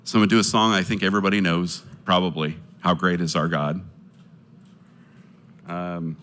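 A man with a very low, deep voice talks to a gathered audience in an earnest, halting way, full of small pauses and restarts as he shares his testimony and appeals for support. A faint room ambience sits behind him. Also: flat delivery, fairly bright tone, slight background noise. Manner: earnest, hesitant, many fillers and restarts. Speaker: speaker sharing testimony and orphanage appeal. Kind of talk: casual testimony talk to a live audience.

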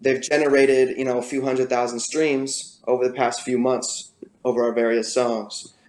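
A man with a raspy voice, a guest musician talking casually in an interview, with many fillers and restarts. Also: medium pitch, little pitch movement, normal speed, a little mellow, reverberant, poor audio.

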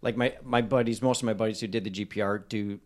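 A man with a lowish voice, a dentist guest on a podcast. He explains his training earnestly and in detail, with the hesitations of casual talk. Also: steady tone, quick pace, a little hiss or hum.

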